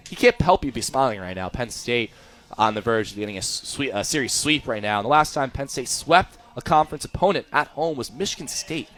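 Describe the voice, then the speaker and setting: A man's voice: mid-range voice, animated, fairly quick, a bit bright. A young American man giving live color commentary on a baseball radio broadcast.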